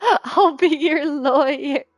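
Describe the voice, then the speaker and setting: A woman with a notably high voice streams a game at an unhurried, slow pace. She talks casually to her chat in a playful, expressive way, with music playing softly behind her. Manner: playful, expressive, pleading and exclaiming. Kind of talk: casual livestream chat over gameplay.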